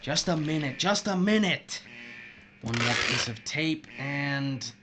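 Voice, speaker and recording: A man playing a desperate, vengeful missile officer in an audio drama, gravelly and theatrical, talking to himself. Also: fairly high pitch, expressive, takes it slowly, over loud music. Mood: frantic muttering. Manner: frantic, muttering, theatrical.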